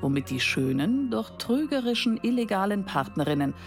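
An actress playing a seductive female machine in a German radio play, declaiming deliberately and sultrily with a creaky voice. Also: mid-range voice, very animated, faint music behind. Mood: grandly declaiming. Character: seductive female sex machine, coolly desiring humans.

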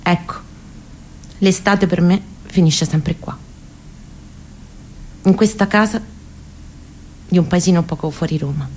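Low-voiced Roman woman telling a story. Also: slight background noise, low-quality recording. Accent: central Italian, Roman. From near Rome, Italy. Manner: expressive, intimate, deliberate phrasing with hushed moments. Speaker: monologue performer. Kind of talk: dramatic autobiographical storytelling monologue.

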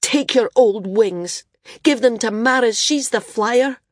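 A woman voicing a tearful, defiant young boy who shouts in raw, pleading bursts. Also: on the high side, slow, no echo, clean studio sound, pitched above the performer's own voice.

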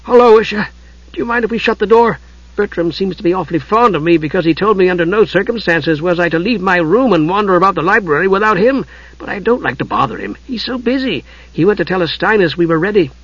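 A man voicing a small, cheerful, guileless kender in an audiobook, with a high squeezed voice. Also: expressive, fast, a bit bright, no echo, lo-fi sound. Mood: chatty, cheerful.

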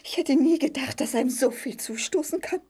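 A woman's voice: high-pitched, a little fast, warm tone, natural voice. A woman playing a skittish, fretful cartoon sweetheart with a whiny, fluttery delivery in a German comedy audio play. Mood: dazed, fearful.

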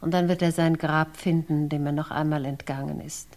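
An actress playing an anxious village woman full of dark foreboding in a German radio crime play, with a brooding, dramatic delivery. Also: low-pitched, fairly even intonation, normal speed. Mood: soothing, grim.

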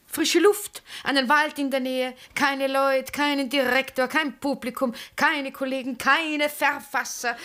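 An actress playing a vivacious, effusive middle-aged former stage star with an Austrian accent in a 1960s radio drama. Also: female voice, a little high, a bit bright. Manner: theatrical, effusive, often breaking into laughter. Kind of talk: 1960s German-language radio drama acting.

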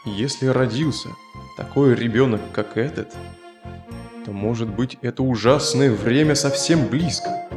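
An actor playing a grumbling, warlike Roman soldier who scorns gentleness, in an audio fairy tale. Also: male voice, medium pitch, measured pace, lightly acted. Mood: brooding, bitter. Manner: brooding, disdainful, rhetorical. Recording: roomy echo with music underneath.

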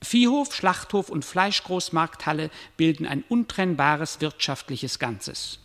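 An actor playing a stern, detached narrating voice in a radio drama, declaiming solemnly in clipped phrases. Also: male voice, fairly high pitch, lively intonation, unhurried, a bit bright. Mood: coldly factual. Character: stern, detached omniscient male voice addressing the hero. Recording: long echoing hall reverb.

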